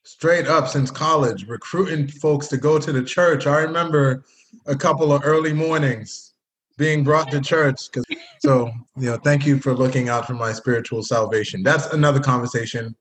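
Man, podcast host, casual chat, playful, mid-pitched voice. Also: moderate pace, poor audio. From Haitian family, grew up partly in Canada. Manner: joking, chatty, friendly teasing.

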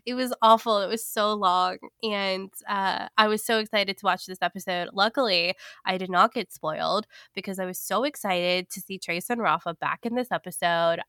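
A woman's voice: medium pitch, normal speed, a bit bright, clean tone, no room sound, clean studio sound. A woman co-hosting a casual fan podcast, her voice stuffy and congested from a sinus infection, talking in a chatty way and laughing often. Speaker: podcast co-host.

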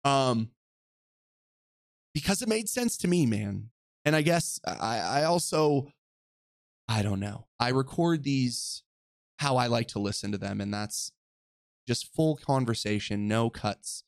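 A man's voice: medium pitch, animated, unhurried, long pauses, no room sound, clean studio sound. A young adult man hosting a solo podcast, talking earnestly and rambling, often correcting himself mid-sentence. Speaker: podcast host. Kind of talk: reflective solo podcast monologue. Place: lives in the western United States.

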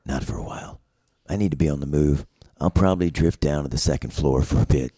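A man playing a world-weary, cryptic office drifter, deadpan, in a noir comedy table read. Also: rumbling low, quick pace, slightly rough, dry recording, studio quality, voice pushed down. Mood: cryptic, stoic.

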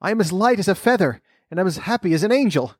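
Here is a man performing an elderly miser reborn in joy, straight out of a storybook. The voice is pitched high and bursts out in breathless, exuberant exclamations, full of childlike goodwill. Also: animated, fast talker, no echo, clean studio sound. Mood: giddy joy. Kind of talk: dramatised storybook reading.

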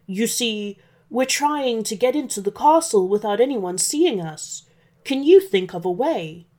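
A woman's voice: fairly high pitch, expressive, unhurried, a little mellow. Storybook jolly old man, acted by a woman: kindly, hearty, reassuring, takes his time. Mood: puzzled. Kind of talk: children's storybook character voice.

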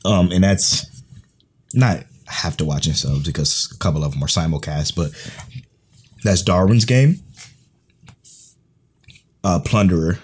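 A man co-hosting a casual podcast, laid-back and slangy, his voice going hoarse. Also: sits low, measured pace, long pauses, darkish tone. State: losing his voice. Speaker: podcast co-host.

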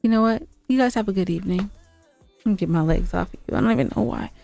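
A woman's voice: mid-range voice, fast talker, gravelly, warm tone, soft background music. A woman co-hosting a podcast in casual, playfully argumentative banter, speaking with emphasis. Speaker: podcast co-host. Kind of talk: casual bantering chat with husband.

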